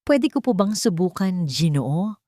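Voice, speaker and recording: A brave, clever Filipino child of ten performed by a woman storyteller speaks respectfully in a narrated drama. The explanations are careful, with lively rises and falls, in a dry, close sound. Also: fairly low pitch, a little slow, dry recording. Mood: politely asking.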